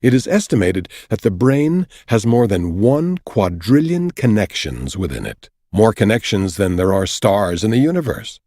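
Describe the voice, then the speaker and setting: A man narrating a nonfiction audiobook from a script in a measured, deliberate, explanatory way, with a husky voice. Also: lowish voice, very animated, mellow, dry recording, studio quality. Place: dry recording studio.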